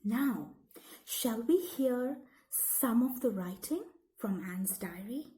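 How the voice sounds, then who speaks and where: A woman reading scripted educational narration aloud in measured, deliberate phrases, as for a school lesson. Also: fairly high pitch, lively intonation, mellow, poor audio.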